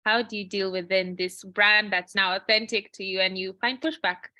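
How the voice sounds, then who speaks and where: A woman's voice: mid-range voice, fairly even intonation, moderate pace, bright, forceful tone, no echo. A woman moderating a session, reading out audience questions in a conversational way.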